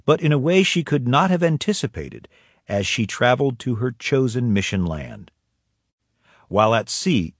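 Guy narrating a documentary, lively up and down pitch, dry close mic. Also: no echo. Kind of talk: scripted narration.

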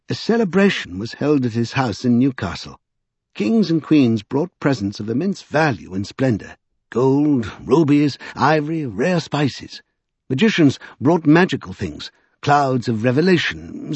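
A man's voice: mid-range voice, darkish tone, breathy edge, no room sound, muffled recording, voice pushed up. A man voicing a bookish, thoughtful magician who lectures precisely in an audiobook. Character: pedantic, bookish, thoughtful male scholar magician.

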